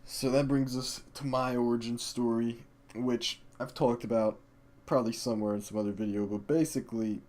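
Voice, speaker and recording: A man's voice: mid-range voice, moderate pace. Man chatting casually on a podcast. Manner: relaxed, chimes in with laughs and asides. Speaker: podcast co-host. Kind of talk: casual chat.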